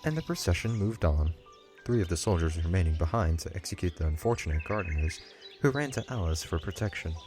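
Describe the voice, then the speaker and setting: A man's voice: sits low, animated, a little fast, over music. A man narrating a scripted audiobook story.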